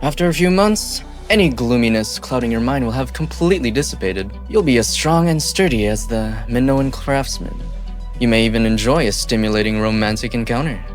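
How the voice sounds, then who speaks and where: Man playing a scheming, smooth-talking businessman, music behind. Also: medium pitch, brisk, natural voice. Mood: coaxing. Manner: theatrical, coaxing, insinuating. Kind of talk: amateur fan dub of game dialogue. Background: background music.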